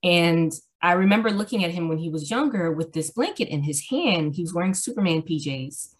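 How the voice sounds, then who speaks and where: Middle-aged woman from Miami, low-pitched, easygoing guest with lots of fillers. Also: a little fast. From Miami, Florida. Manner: relaxed, laughing, hesitant fillers. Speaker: guest author. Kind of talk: casual interview. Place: home room.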